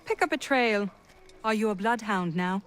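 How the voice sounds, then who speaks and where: A woman's voice: medium pitch, normal speed, natural voice. An actress playing a bold, witty young noblewoman in a video game, speaking in a wry, self-assured way. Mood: wry, curious. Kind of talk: video game dramatic acting.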